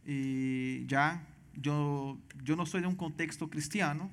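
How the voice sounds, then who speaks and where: A man from Venezuela with a Brazilian Portuguese accent, earnestly telling his testimony as a missionary guest, halting and restarting often. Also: a little high, fairly even intonation. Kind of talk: personal testimony in church interview.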